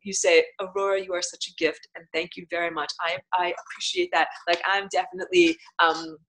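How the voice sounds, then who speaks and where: Animated woman hosting an online class. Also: fairly low pitch, fairly quick, low-quality recording. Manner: animated, gestures a lot, sudden loud bursts. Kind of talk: live-streamed lesson, reading viewer comments. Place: small indoor room with a patterned wall hanging.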